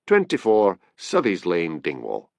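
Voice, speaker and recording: A man voicing a shifty, eager small-time informant with a Scottish accent and an excited, matey, colloquial manner. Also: a little slow, gravelly, no room sound, clean studio sound, exaggerated voice. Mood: excited, conspiratorial. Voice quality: deep.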